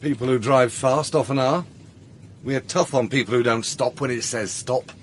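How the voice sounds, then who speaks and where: An actor playing a stern, officious border guard in a radio drama, curt and reproving. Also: male voice, medium pitch, normal speed, close to an everyday voice. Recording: clear vehicle noise, outdoor ambience.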